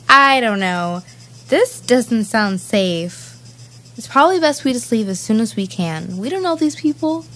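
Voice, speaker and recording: Woman acting a high-voiced, gentle runaway poet. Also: expressive, unhurried, rough recording. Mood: wary, doubtful. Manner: soothing, hesitant. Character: gentle, cautious, bookish young female runaway poet. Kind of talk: live-streamed audio drama acting.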